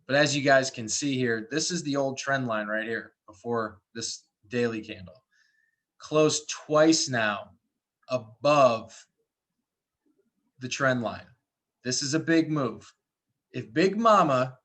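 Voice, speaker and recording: A man's voice: medium pitch, fairly bright tone. Man talking informally through live market commentary. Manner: conversational, repeats and rephrases as he explains. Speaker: trading call host, trader.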